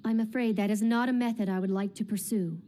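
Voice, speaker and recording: A woman playing a dutiful young female knight in an English anime dub, speaking formally and solemnly with measured words. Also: medium pitch, fairly even intonation, lightly acted. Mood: resolute. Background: loud music.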